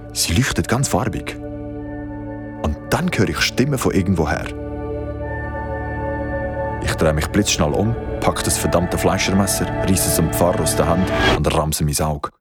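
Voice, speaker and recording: Actor as shaken Swiss city man, low voice, first-person horror narration in a radio play, dramatic music underneath. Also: normal speed, pauses often, lightly acted. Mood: tense, hushed.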